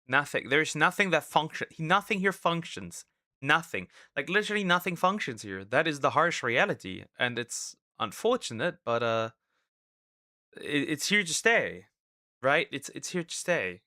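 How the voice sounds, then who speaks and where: A man's voice: medium pitch, bright, forceful tone, no room sound, clean studio sound. A man casually reacting to a video, talking in a laid-back, repetitive way and often asking "right?". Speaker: VTuber host reacting to a video.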